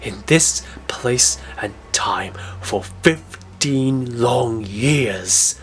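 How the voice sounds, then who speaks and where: Man as a whispering, low, sinister villain. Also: expressive, soft background music. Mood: menacing, weary. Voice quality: breathy, whispery. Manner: slow, deliberate, sinister. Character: cold, menacing middle-aged male speedster villain, sly mentor. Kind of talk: audio drama acting.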